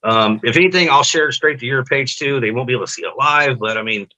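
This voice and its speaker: Bright-voiced man hosting a live stream. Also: mid-range voice, fast, rough recording. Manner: chatty, many fillers. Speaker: host of a live testimony show.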